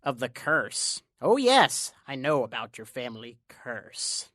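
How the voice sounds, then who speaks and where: A woman playing a sly, small, thin male stranger in an audio drama, coaxing and insinuating with dramatic pauses. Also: rumbling low, very animated, a bit bright, no echo, clean studio sound, exaggerated voice. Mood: sly, taunting.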